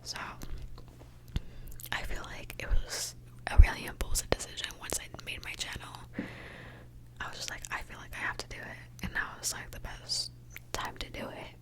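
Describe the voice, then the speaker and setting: A woman's voice: moderate pace, pauses often, low-quality recording. A young woman from Mexico, an ASMR creator, whispering a candid, chatty question-and-answer session.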